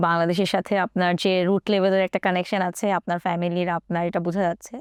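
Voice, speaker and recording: A woman hosts the interview, switching between two languages mid-sentence. She talks very fast in a clean, smooth voice. The sound is dry and close. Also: lowish voice, level tone, no echo. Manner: mixes two languages, quick questions. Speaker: show host. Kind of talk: interview hosting.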